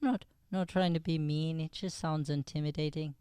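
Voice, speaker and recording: Bashful young Irish lad for improv radio comedy, played by a man: very smooth tone, halting delivery, dry close sound. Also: on the high side, steady tone, dark tone, no echo, close to an everyday voice. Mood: nervous, shy. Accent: Irish, put on. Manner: hesitant, stammering. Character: timid, socially anxious young Irish schoolboy. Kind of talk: improvised old-time radio comedy play.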